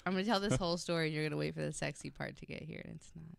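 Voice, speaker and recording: A woman's voice: low voice, racing, gravelly. A woman telling a story as a podcast panelist in an informal, somewhat hesitant way.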